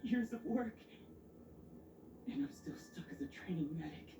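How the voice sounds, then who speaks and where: A guilt-ridden young knight from a video game. His delivery is halting and breathy, with pitch that swings widely. The sound is echoey and noisy. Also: lively intonation, distant mic, heavily acted. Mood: bitter self-blame. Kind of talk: dramatic video game voice acting.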